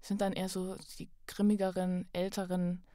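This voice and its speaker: A young adult woman speaking as a podcast guest in a casual, thoughtful, halting conversational way. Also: lowish voice, monotone, mellow, dry recording, studio quality.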